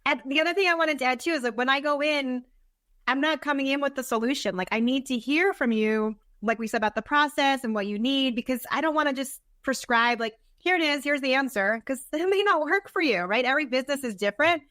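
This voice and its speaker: Earnest, higher-voiced woman chatting informally. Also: fast, no room sound, clean studio sound. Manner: earnest, animated self-quoting, informal. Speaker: podcast guest, business consultant. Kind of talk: casual conversational interview.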